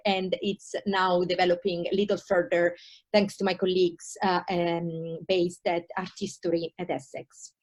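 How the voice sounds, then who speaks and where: A woman in her thirties from Italy with an Italian accent, giving an online university taster lecture with many hesitations and fillers. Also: lowish voice. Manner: hesitant, many ums and uhs, restarts.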